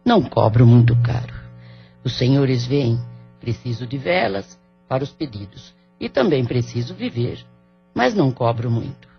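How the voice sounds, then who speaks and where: An actor playing an elderly, businesslike woman spiritual worker in an audio drama, speaking in a measured, formal way. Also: mid-range voice, lively intonation, close to an everyday voice. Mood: businesslike, probing. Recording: dull lo-fi audio with music underneath.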